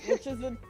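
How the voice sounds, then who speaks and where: Slow-talking woman guest. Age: 20s. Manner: unhurried, self-deprecating, giggly. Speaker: guest poet. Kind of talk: casual interview chat. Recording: music clearly behind.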